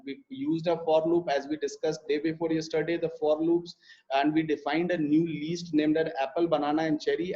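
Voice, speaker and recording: A young man walks through code in a live online lesson, his voice a little high and soft at the edges. He stumbles often, filling gaps with hesitations and restarting his sentences. The audio is dull and lo-fi, like a computer mic on a stream. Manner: hesitant, many fillers and restarts while explaining code. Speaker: programming instructor.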